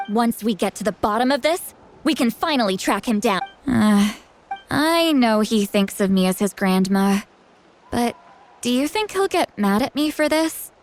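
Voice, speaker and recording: A woman voicing a proud, sharp-tongued but protective youthful shaman in a video game, with a dramatic manner. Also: a little high, expressive, a little slow, a little hiss or hum. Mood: troubled, resolute. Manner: boastful yet self-doubting, dramatic.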